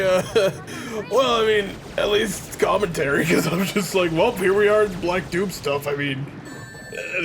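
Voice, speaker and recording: A man's voice: on the high side, fairly bright tone. A man giving casual, joking let's play commentary, often putting on mock quotes.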